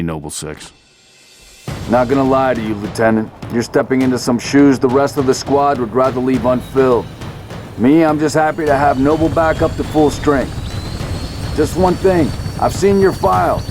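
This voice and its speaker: A stern military commander played by a man in a video game, with a medium-pitched voice. He is controlled and terse, speaking with authority over music. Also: lightly acted. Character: steady, stern male commander of soldier squad. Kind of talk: video game cinematic acting.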